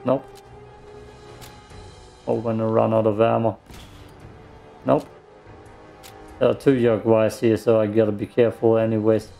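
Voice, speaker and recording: A man's voice: on the low side, flat delivery, unhurried, gravelly, pauses often, mellow, faint music behind. A man giving casual gameplay commentary, muttering to himself and given to swearing. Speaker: let's play streamer.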